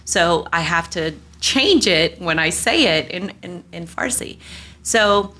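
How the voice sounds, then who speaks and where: A woman's voice: on the low side, animated, slow, bright, forceful tone. A woman, a guest in a casual interview, speaking candidly with many fillers and restarts. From United States.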